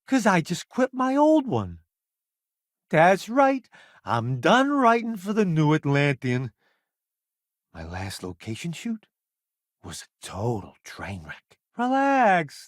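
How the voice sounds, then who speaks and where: A cocky veteran journalist in a space game: slightly high voice, hugely animated pitch, wry showman flair. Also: no echo, clean studio sound, heavily acted. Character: brash, self-assured veteran food journalist turned spacefaring crewman. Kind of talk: video game companion voice lines.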